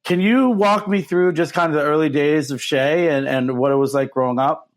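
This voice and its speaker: A man's voice: fairly high pitch, normal speed. A man hosting an interview podcast, friendly and a little hesitant, with many fillers. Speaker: podcast host. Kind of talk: casual interview.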